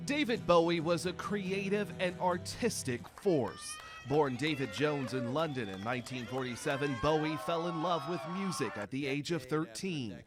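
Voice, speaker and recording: A male reporter reads a scripted TV news piece in a measured, formal voice. His pitch sits a little high for a man. Music plays clearly under him. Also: expressive.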